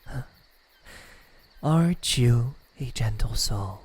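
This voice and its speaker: A man playing a smug, teasing demon in an ASMR roleplay, taunting softly with drawn-out pauses and a breathy, husky voice. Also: mid-range voice, expressive, no echo. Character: smug, teasing male demon toying with a captive. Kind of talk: ASMR roleplay, slow intimate villain acting.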